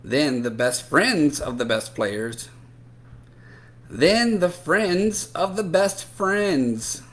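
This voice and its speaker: A man reading a picture book aloud to children in an expressive, animated way with drawn-out emphasis. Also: fairly high pitch. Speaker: children's read-aloud storyteller.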